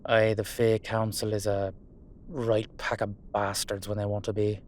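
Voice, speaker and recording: A man playing a gruff but fond Irish dullahan in a roleplay audio drama, speaking in a colloquial, hesitant, sweary way. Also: on the low side, completely flat delivery, unhurried, a little mellow, slight background noise, close to an everyday voice. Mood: tense, grumbling.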